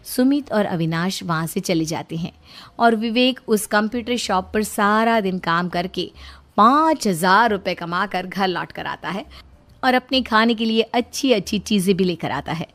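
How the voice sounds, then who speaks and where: A woman's voice: mid-range voice, moderate pace. A woman reading scripted narration for a moral story, as a storyteller. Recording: music behind the voice. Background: background music.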